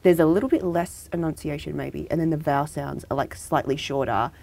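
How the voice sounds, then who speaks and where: A woman with a slightly low voice co-hosts a relaxed podcast chat. She talks hesitantly, leans on "like" a lot, and giggles easily. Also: brisk, creaky. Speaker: podcast co-host. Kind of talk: casual chat.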